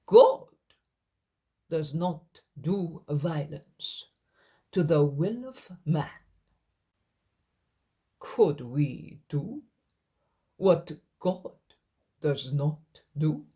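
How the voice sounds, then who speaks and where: A woman's voice: sits low, very animated, very slow, pauses often, warm tone, exaggerated voice. A woman doing a harsh, domineering villain. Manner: commanding, scornful. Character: harsh, domineering older man, furious villain.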